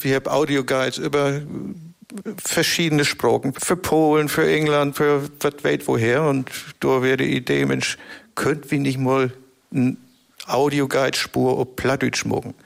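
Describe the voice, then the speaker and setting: Hesitant northern German man, gravelly. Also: mid-range voice, little pitch movement, a little slow. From northern Germany, Baltic coast near Kiel. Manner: hesitant, repeats words while searching. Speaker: talk show guest, nature conservationist. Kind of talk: casual chat.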